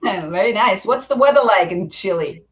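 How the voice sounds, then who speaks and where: A woman's voice: medium pitch, animated, normal speed. A woman hosting a casual interview on a video call, upbeat and greeting viewers. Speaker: host.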